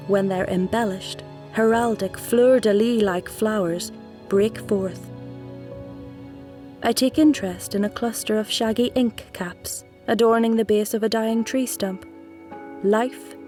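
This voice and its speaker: A woman reading a literary story aloud in a measured, deliberate way, as a scripted narration. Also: mid-range voice. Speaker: actor reading a nominated story.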